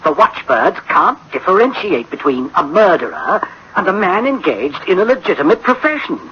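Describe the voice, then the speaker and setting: A man's voice: high-pitched, very animated, normal speed. A man playing a troubled, conscientious chief of a futuristic project in a dramatic, brooding radio drama style. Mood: alarmed. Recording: dull, noisy old radio recording.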